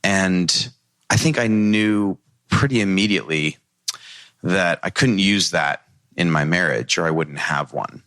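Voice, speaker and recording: A man's voice: deep voice, unhurried, fairly bright tone, no echo. A man speaking as a panel guest, earnest and reflective as he talks about his own experience. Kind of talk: reflective podcast discussion.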